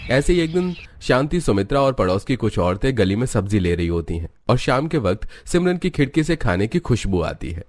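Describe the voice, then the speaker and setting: A man's voice: mid-range voice, very animated, a little fast, hardly any pauses, a little mellow, dry recording, studio quality. A man narrating a scripted cartoon family story as a storyteller. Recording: music behind the voice. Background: music.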